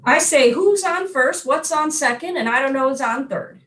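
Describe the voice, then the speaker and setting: A woman's voice: sits high, lively intonation, moderate pace, fairly bright tone. A woman playing an unflappable baseball team manager in a staged comic dialogue, answering in an emphatic, matter-of-fact way. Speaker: amateur readers theater performer.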